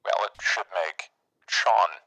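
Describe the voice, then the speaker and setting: A man playing a big, socially awkward vampire in an improvised roleplay, speaking formally and earnestly with halting phrasing. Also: deep voice, sharp tone, no echo, full character voice, poor audio. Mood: apologetic. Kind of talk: improvised tabletop roleplay, phone conversation.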